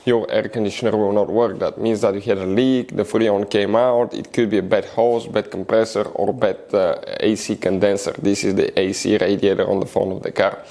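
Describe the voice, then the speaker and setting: A man's voice: lowish voice, little pitch movement, normal speed, hardly any pauses, warm tone. A man hosting a car repair tutorial, giving step-by-step how-to narration and often addressing viewers as guys. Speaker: car repair video host.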